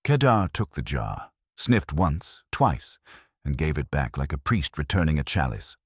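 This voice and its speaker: A man reading a scripted first-person detective story in a wry, deadpan way. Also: rumbling low, unhurried, slightly rough, no room sound, studio quality.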